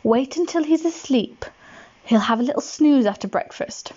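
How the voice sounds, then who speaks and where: A woman doing a bustling, fretful giant's wife from a bedtime story, her voice pushed up high and fussy. The sound is dull and lo-fi. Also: animated, normal speed, dark tone, husky. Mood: fussing. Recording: dull lo-fi recording.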